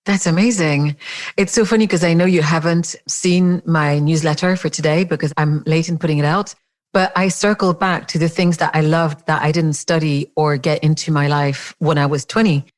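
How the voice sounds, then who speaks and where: Low-voiced woman hosting a podcast. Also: fairly even intonation, normal speed. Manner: gracious, enthusiastic. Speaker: podcast host. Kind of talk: warm conversational interview.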